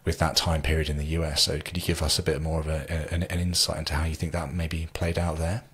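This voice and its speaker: A man in his thirties hosts a podcast over a video call. His voice is very low and rough, almost gravelly in its rasp. He speaks in a hesitant, winding way, leaning on fillers while he builds his questions. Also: flat delivery, fast, fairly bright tone. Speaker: podcast host. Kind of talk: podcast interview. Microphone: studio mic, earbuds, video call.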